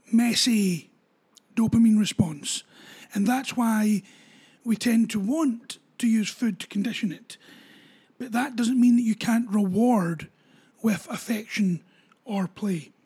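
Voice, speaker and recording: A woman with a husky, slightly breathy voice teaches dog training. Her tone is mellow and warm rather than bright. She explains each idea step by step and leans on everyday examples. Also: a little high.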